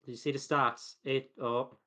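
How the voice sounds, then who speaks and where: Low-key man chatting about football. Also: level tone, moderate pace, bright, forceful tone. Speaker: football watch-along co-host. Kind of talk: casual match chat.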